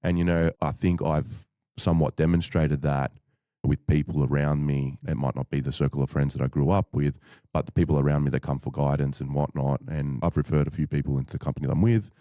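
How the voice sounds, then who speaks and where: A man as a podcast guest, telling his story in a measured, thoughtful way with frequent fillers. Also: very deep voice, steady tone, warm tone, no echo. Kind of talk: reflective conversational storytelling.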